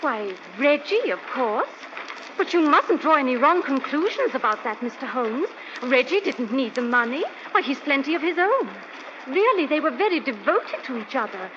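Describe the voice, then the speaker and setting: A woman's voice: sits high, very animated, normal speed. An actress playing an earnest, anxious young lady's companion in an old-time radio mystery, speaking politely. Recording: noisy, muffled old radio recording.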